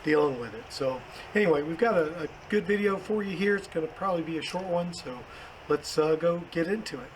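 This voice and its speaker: A hoarse man, hosting a casual unboxing vlog with plenty of fillers. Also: a little high, brisk. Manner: chatty, self-deprecating, many fillers. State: hoarse, losing his voice. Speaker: car channel host. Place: outdoors then indoors at home. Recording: echoey room with background noise.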